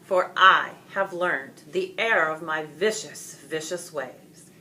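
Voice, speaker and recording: A woman voicing a cold, menacing male dragon in a storybook, mocking and grandiose as it taunts in drawn-out phrases. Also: deep voice, bright, forceful tone, a little hiss or hum, full character voice, rough recording. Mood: mock repentance.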